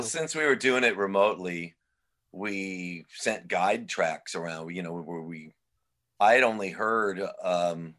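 Low-voiced man, loose and rambling. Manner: relaxed, rambling, many "you know" fillers. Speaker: guest musician. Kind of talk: casual interview chat.

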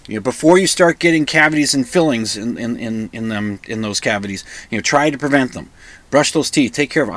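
A male radio host with a slightly croaky voice from a cold and a lozenge in his mouth, rambling casually and self-deprecatingly. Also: medium pitch, fairly bright tone, no room sound. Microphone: microphone into recording software.

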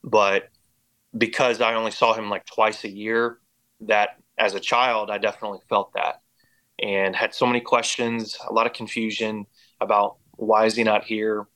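An American man shares his personal story as an interview guest. His voice sits fairly low and stays very even, with little rise and fall. He speaks earnestly and reflectively. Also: normal speed, low-quality recording. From Indiana and Oklahoma, United States. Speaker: podcast guest.

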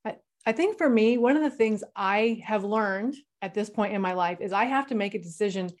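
A woman's voice: mid-range voice, fairly quick. A woman moderating a discussion panel, speaking bluntly and frankly.

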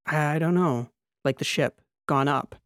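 A man voicing a strained, defiant female lieutenant in an audiobook, answering in halting, clipped phrases. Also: a little high, fairly bright tone, no echo, clean studio sound, pitched above the performer's own voice. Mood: dazed, clipped. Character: strained, defiant female army lieutenant recalling combat drop.

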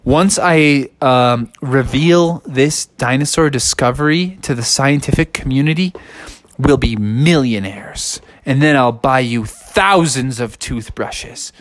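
A man's voice: mid-range voice, slow pace, close to an everyday voice. A man voicing a laid-back young bear who is an earnest dinosaur enthusiast, with slangy dude talk. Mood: placating.

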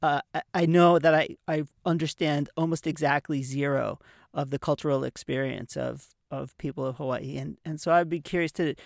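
A man with a somewhat high voice hosts a podcast chat, easygoing and quick to laugh as he trips over his phrasing. He sounds close to the mic, with a dry sound and no echo. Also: fairly even intonation, gravelly. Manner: friendly, laughs often, stumbles over words. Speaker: podcast host. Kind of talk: conversational podcast interview.

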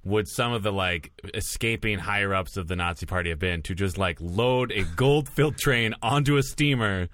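A man's voice: fairly low pitch, moderate pace, few pauses, a bit bright, no room sound. A man in casual chat, asking puzzled questions and dropping dry quips.

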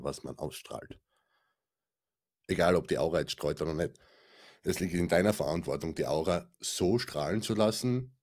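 Very deep-voiced man chatting. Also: darkish tone. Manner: rambling, colloquial, many restarts and fillers. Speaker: podcast co-host. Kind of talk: casual spiritual chat.

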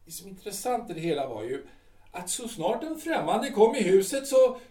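A man's voice: high voice, big pitch swings, mellow. A man playing a bluff, boastful aging artillery captain in an audio drama. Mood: bickering. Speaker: Edgar. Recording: roomy and distant.

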